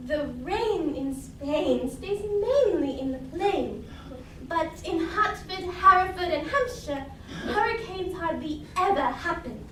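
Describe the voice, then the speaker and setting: Woman playing a shrill Cockney flower girl. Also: lively intonation, takes it slowly, full character voice. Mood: prim. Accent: Cockney, put on for the role. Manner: stubborn, loud, half sung. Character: brash young Cockney flower girl, defiant. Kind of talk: stage musical acting. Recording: echoey stage, mic far off, faint noise.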